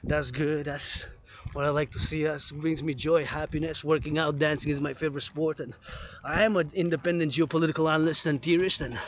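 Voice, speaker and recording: A man's voice: a little high, fairly even intonation, brisk, few pauses, fairly bright tone. A man vlogging casually on an outdoor walk, rambling and circling back to repeat his phrases in a chant-like way. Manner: repetitive, chant-like rephrasing. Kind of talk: rambling outdoor walking vlog, casual chat. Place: outdoors in a park, walking among trees. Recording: noisy outdoor recording with clicks.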